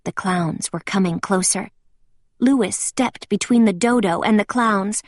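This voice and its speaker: Woman doing a kids read aloud, really slow and deliberate, dry close sound. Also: mid-range voice, no room sound. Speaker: children's storybook reader. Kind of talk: scripted read-aloud storytelling for children.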